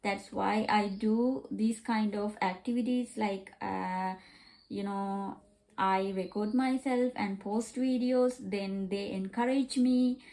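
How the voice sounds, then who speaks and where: A woman learning English as a second language, talking casually in a vlog, pausing with many fillers and repeating herself. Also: mid-range voice, fairly even intonation, warm tone, low-quality recording. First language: not English.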